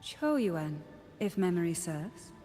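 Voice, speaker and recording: An actress playing a courteous, well-connected woman of rank in a video game, with formal, deliberate delivery. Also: fairly low pitch, very animated, warm tone. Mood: poised, knowing. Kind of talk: scripted video game dialogue. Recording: long reverb, music underneath. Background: game music.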